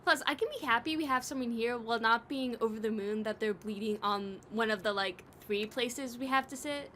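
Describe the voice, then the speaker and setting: A sardonic, playful creature from an audio drama, voiced by a woman, pitched fairly high. The delivery is wry and full of teasing banter. Noise is clearly audible in the recording. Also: fairly quick, fairly bright tone, close to an everyday voice. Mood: wry sarcasm. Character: sardonic, teasing, playful eyeless bloodless oddball.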